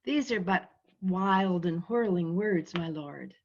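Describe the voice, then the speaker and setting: A woman's voice: lowish voice, animated, slow, exaggerated voice. A woman reading the part of a prince's loyal, concerned companion in a Shakespearean drama, with earnest deference. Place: indoors on a video call. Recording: muffled video call audio.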